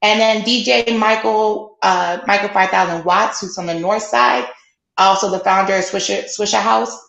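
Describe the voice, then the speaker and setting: A woman's voice: mid-range voice, steady tone, normal speed, vocal fry, a bit bright. A woman co-hosting a casual sports podcast, chatting and plugging events with loose asides. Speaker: podcast co-host. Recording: roomy echoey sound.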